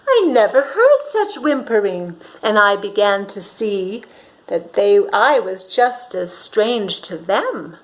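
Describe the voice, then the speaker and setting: Slow-paced woman reading a children's story. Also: medium pitch, big pitch swings, rough recording. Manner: dramatic, with gasps and questions to young listeners. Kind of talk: expressive storybook read-aloud for young children.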